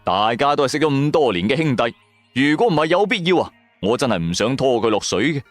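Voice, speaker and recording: A man's voice: mid-range voice, sing-song, fast talker, dry recording, soft background music. A man with a Cantonese accent, narrating a first-person story in a dramatic audio drama style.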